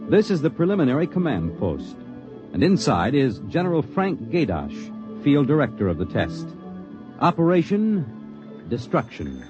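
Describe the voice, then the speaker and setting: A man's voice: on the high side, wildly swooping pitch, unhurried. A man narrating a 1950s science fiction radio drama in a portentous, theatrical manner. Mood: solemn, dramatic. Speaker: announcer and narrator. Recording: muffled vintage radio recording, loud music.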